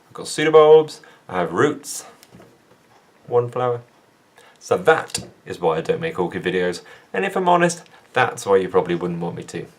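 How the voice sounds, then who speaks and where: A young man with an English accent, from England, chatting casually and poking fun at himself in a plant vlog. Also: mid-range voice. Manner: self-mocking, dry humor. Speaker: plant vlogger.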